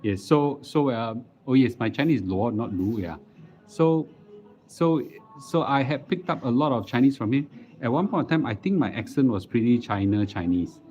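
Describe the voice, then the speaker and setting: A middle-aged man with a Singaporean accent chatting casually as a livestream host, halting and repeating words as he goes. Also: mid-range voice, expressive, a little mellow, soft background music. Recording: dull lo-fi live stream audio.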